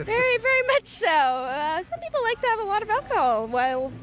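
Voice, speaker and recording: An American woman gives a casual interview as a volunteer. Her voice is high and very animated in pitch, recorded outside with faint background noise. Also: fairly quick. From Seattle, United States. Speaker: event volunteer.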